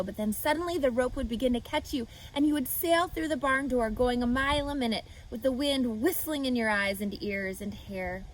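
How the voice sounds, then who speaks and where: Animated woman reading a children's story. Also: on the high side, a little hiss or hum, low-quality recording. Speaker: reader. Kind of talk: reading a children's book aloud.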